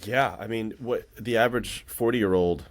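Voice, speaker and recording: A man's voice: fairly low pitch, normal speed. A man in his late thirties chatting casually on a podcast in an earnest, reflective, rambling way. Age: late 30s. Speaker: podcast conversation partner.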